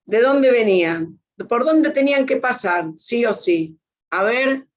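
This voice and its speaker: A woman's voice: a little high, animated, takes it slowly. A woman with an Argentine accent teaching a class spontaneously, prompting people with questions. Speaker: course teacher. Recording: dull online class audio.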